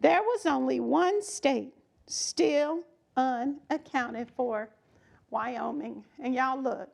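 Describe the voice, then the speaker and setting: A woman reads a children's story aloud expressively, with emphatic delivery, dramatic pauses and chatty asides to her young listeners. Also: high-pitched, gravelly, a little mellow.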